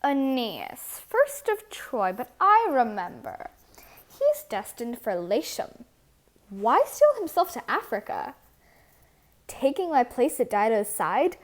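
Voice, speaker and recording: A woman's voice: on the high side, sing-song, slow. A woman voicing an indignant, jealous young suitor prince in a declamatory reading of a verse libretto. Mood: jealous brooding. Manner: declamatory, aggrieved. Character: jealous, indignant spurned suitor prince pleading to his divine father.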